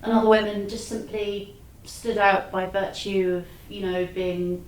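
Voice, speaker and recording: A woman interviewer asks questions conversationally. She sounds away from the microphone in an echoey space, with slight noise, and her pitch is average. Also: flat delivery, unhurried. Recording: echoey, distant mic, faint noise.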